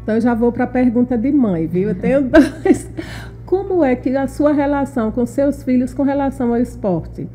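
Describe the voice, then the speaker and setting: Friendly woman host, mellow voice. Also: high voice, expressive, faint music behind. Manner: friendly, affirming, quick follow-up questions. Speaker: interview host, mother. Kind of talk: interview conversation.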